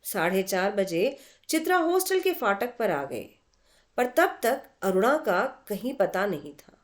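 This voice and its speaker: A woman reading a literary story aloud in an expressive, unhurried manner with dramatic pauses. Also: medium pitch. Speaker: story reader.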